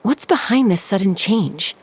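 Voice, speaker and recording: A woman playing a cool, self-assured young peacemaker in a video game, speaking in a measured, matter-of-fact way. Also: medium pitch, animated, slight background noise, lightly acted. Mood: relaxed, familiar. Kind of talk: video game character acting.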